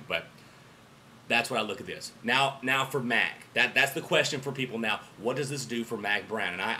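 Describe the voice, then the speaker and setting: Hoarse, sharp-voiced American male sports fan. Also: mid-range voice, a little hiss or hum. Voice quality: hoarse from yelling. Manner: emphatic, repeats himself, swings between excited bursts and quieter asides. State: hoarse voice after yelling through a game. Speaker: football fan vlogger and alum of the team he supports. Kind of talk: impassioned sports fan commentary to camera.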